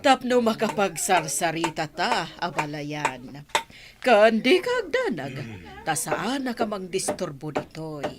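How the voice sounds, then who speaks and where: Chatty in-law, TV drama; Ilocano accent, leisurely swooping delivery, noisy recording. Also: female voice, lowish voice, sing-song, slow pace. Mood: chummy, amused. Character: chatty, friendly female in-law visiting a shop. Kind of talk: Ilocano television drama acting. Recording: clear background noise with clicks.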